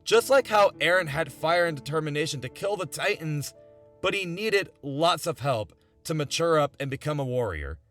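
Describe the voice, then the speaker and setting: A man's voice: on the high side, lively intonation, normal speed, a bit bright. A young man narrating a scripted video essay in an earnest, emphatic, conversational way. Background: background music.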